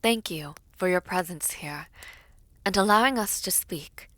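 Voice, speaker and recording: A woman playing a composed, caring young princess in an audio drama, soft and earnest, often slipping into a breathy hush. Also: lowish voice, unhurried. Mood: formal, poised. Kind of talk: audio roleplay drama acting.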